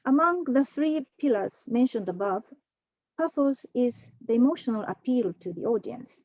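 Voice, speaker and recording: A woman presenting a prepared academic talk at an online conference, measured, with a few fillers. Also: fairly high pitch, expressive, a little mellow, no room sound, dull audio. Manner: measured, reads from a prepared text with occasional fillers. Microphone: computer mic for an online talk.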